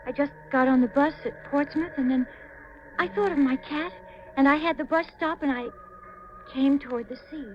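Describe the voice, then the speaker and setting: A woman acts a lost, frightened young woman in an old radio mystery, her voice very high and fragile. She speaks haltingly and plaintively, on a muffled, slightly hissy vintage recording. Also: close to an everyday voice. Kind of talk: 1950s radio mystery acting.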